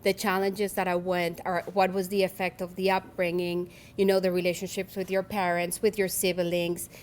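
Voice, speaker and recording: A woman's voice: lowish voice, little pitch movement, normal speed, slight background noise. A woman in her forties with a Colombian Spanish accent, giving a personal keynote speech at a luncheon in an earnest, reflective way, often restarting her phrases. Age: 40s. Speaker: keynote speaker.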